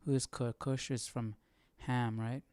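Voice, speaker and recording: A man's voice: medium pitch, little pitch movement, measured pace, a little mellow, dry recording, clean studio sound. A man chatting casually as a live study host, thinking aloud in an informal, tentative way.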